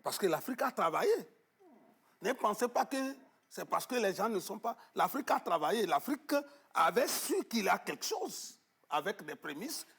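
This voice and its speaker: Man, television interview guest, husky voice, gravelly edge, emphatic, hesitant fillers. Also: sits high, sing-song, moderate pace, a bit bright. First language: Arabic-educated, French not first. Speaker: guest on traditional knowledge. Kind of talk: studio interview, emphatic explaining. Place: TV studio.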